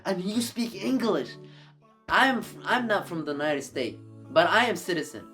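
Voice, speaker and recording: A man's voice: sits high, lively intonation, moderate pace, a bit bright, faint music behind. A man whose first language is Arabic, giving earnest motivational advice to camera in a YouTube video, often repeating and restarting his words.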